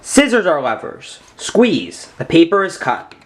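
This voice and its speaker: Young man, higher-pitched, explaining for children. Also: big pitch swings, measured pace, a little hiss or hum. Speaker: read-aloud host and science demonstrator. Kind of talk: children's read-aloud and hands-on explanation.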